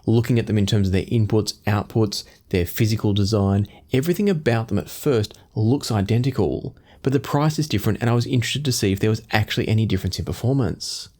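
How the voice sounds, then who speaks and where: Low-voiced man reviewing gear. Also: fast, few pauses, darkish tone. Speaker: audio gear reviewer and host. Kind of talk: product review to camera. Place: small home studio room indoors.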